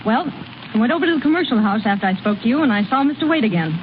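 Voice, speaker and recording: An earnest young small-town woman played by an actress in a vintage radio drama. Her coaxing, direct voice is fairly high, coming through a thin, phone-like old broadcast. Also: lively intonation, racing, close to an everyday voice. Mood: earnest, urging. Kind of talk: 1930s-40s radio drama acting. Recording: thin, narrow-band vintage radio recording, faint noise.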